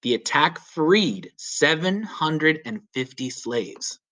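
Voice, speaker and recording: A man reading a children's story aloud as a teacher would, with clear, deliberate, emphatic phrasing. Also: a little high, expressive, pushed and bright. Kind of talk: children's read-aloud narration.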